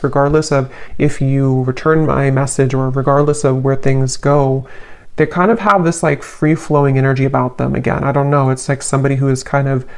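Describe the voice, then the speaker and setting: Woman tarot reader with very even pitch. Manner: halting, musing, hedging delivery. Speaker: tarot reader, channel host. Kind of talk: casual intuitive tarot reading.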